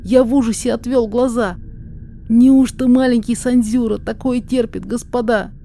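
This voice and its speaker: A woman playing a humble male mountain guide and herb gatherer in a verse drama, telling things in a measured storyteller's way. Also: a little high, fairly even intonation, vocal fry, warm tone, slight background noise. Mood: dread, haunted. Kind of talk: rhymed verse drama reading.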